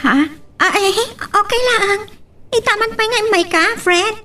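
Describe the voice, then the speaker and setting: Woman acting very high-pitched, timid young Ilocano woman. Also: unhurried, sharp tone, faint music behind, heavily acted. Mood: nervous. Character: timid, flustered young house worker with a boyfriend. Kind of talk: amateur Ilocano audio drama.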